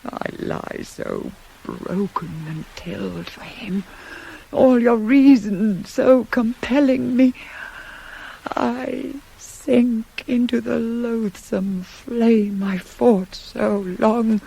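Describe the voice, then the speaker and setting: An actress playing a proud, anguished queen in a radio Greek tragedy, grave and formal, with a husky voice. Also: a little high, extremely slow, dark tone, exaggerated voice, low-quality recording. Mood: anguished, yielding. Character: anguished proud queen, wife and mother, fighting forbidden desire. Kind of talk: 1980s radio play acting of Greek tragedy.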